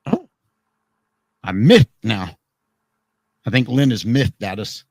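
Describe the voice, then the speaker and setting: A man with a hoarse, tired voice hosts a live talk program. His tone sits low, and he rambles and jokes through restarts. The sound is dry and close, with no room behind him. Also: big pitch swings, slow pace, darkish tone, no room sound. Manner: chatty, joking, rambling with restarts. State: hoarse, voice worn out. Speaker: radio program host. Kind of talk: casual live talk show chat.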